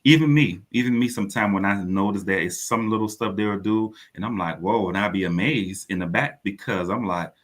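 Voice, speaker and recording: A man's voice: on the low side, a little fast, hardly any pauses. A man speaking as a playwright guest in a radio interview, explaining earnestly and often repeating his phrases.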